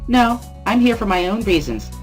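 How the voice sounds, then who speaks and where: A man voicing a cheerful, energetic teenage girl runner with a high-pitched, enthusiastic voice, in a dramatic reading of a visual novel. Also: moderate pace, faint music behind. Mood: eager. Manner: perky, enthusiastic.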